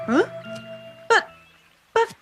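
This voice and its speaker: A woman voicing a naive, kindly young boy who sounds innocent and hesitant, in a dubbed cartoon. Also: extremely slow. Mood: surprised, friendly. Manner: innocent, hesitant, easily surprised. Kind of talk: dubbed animated fairy tale acting. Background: music.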